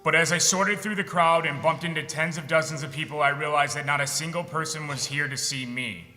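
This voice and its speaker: A very bright, forceful young man with an American accent delivers a sardonic, candid monologue. His voice rings through a reverberant hall, with music underneath. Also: fairly high pitch, fairly even intonation, a little fast. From United States, Michigan. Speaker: military veteran performer. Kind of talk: reading a personal monologue on stage. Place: theatre stage in an arts center.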